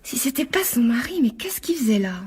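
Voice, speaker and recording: An actress in a radio drama playing an uneasy young woman, soft and breathy, who questions things hesitantly. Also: sits high, big pitch swings, fairly quick. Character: uneasy young woman, timid, suspicious, apologetic.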